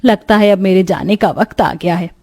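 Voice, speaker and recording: महिला की आवाज़ में शिकायती बुज़ुर्ग सास. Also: female voice, medium pitch, normal speed, a little mellow, no echo, natural voice. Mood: weary. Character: elderly village mother-in-law, grumbling, hard to please. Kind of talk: cartoon moral story dialogue.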